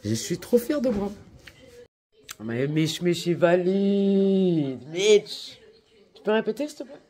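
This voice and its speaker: A woman's voice: medium pitch, sing-song, slow, dark tone. Slangy chatty young woman vlogger. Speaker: lifestyle vlogger. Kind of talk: casual vlog chat.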